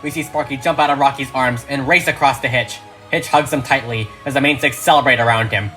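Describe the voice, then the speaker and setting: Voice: medium pitch, fairly quick, sharp tone, faint music behind. A narrator reading a script aloud in a dramatic, emphatic, theatrical style.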